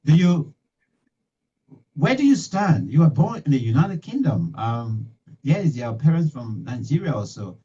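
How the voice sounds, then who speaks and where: A middle-aged man hosting a live radio interview, talking in halting bursts with many fillers and restarts, recorded in a small home studio. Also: medium pitch, big pitch swings, dark tone, breathy edge. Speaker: talk show host. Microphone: studio mic with headphones. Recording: distant, dull lo-fi sound.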